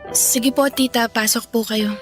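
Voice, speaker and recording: A young woman acting in a radio drama, speaking softly and respectfully with a remorseful tone. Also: on the high side, steady tone, unhurried, music in the background, natural voice. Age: young adult.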